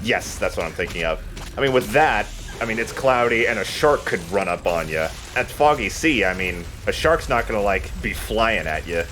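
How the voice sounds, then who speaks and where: A man's voice: lowish voice, animated, moderate pace, a bit bright, slight background noise. A man giving casual, chatty gaming commentary to a friend as he plays. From United States. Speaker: let's play host playing the game.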